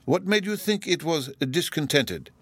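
Pompous storybook statesman, played by a man: high voice, patronising curiosity, stately manner, dry recording. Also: lively intonation, a little slow, a bit bright, no room sound, full character voice. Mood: wondering. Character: pompous male Lord Chancellor, patronising and curious.

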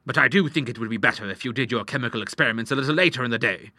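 Audiobook role: fussy, courteous middle-aged father acted by a man, sharp bright voice, very dry sound. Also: medium pitch, very fast, dry recording. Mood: strained patience. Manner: proper, courteous, exclamatory when vexed. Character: genial, fussy middle-aged doctor and father, easily ruffled. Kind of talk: audiobook character voice.

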